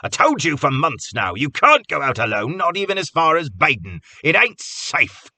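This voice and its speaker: A man voicing a quarrelsome, sharp-tongued old village man who scolds the people around him. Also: on the low side, expressive, quick pace, creaky, breathy edge, no echo, full character voice. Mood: angry.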